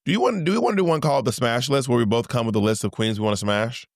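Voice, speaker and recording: A man's voice: medium pitch, rapid-fire, no echo, clean studio sound. A man co-hosting a podcast, teasing and blunt in casual banter. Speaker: podcast co-host.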